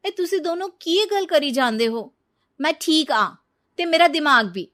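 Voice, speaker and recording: Scheming young bride, played by a woman, Punjabi accent, very high voice, defiant and emphatic, for animated storytelling. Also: a little slow.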